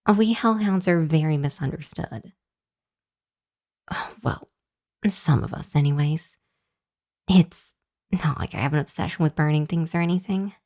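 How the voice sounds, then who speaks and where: A woman voicing a shy, earnest young hellhound demon girl in an ASMR roleplay, speaking to the listener in a hesitant, flustered, halting way. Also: low voice, darkish tone. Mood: defensive, pleading. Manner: hesitant, flustered, halting with sighs and nervous chuckles.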